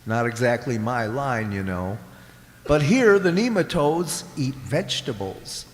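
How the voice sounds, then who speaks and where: A man's voice: medium pitch, lively intonation, a little slow, close to an everyday voice. An actor playing a fretful carrot father and government official in a comic radio play, formal and gloomy in manner. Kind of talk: comic science fiction radio drama acting. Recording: echoey hall with long reverb.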